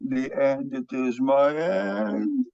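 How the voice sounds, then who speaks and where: A man playing an excitable, easily overwhelmed psychiatrist in a comic play, stammering dramatically in broken English. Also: medium pitch, very slow, vocal fry, muffled recording, exaggerated voice. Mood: despairing surrender. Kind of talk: comic stage play reading.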